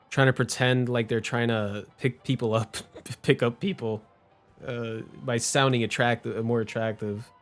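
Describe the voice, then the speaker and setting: A man livestreaming a game, chatting casually in an informal, hesitant, rambling way with game music behind him. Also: medium pitch. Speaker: game streamer.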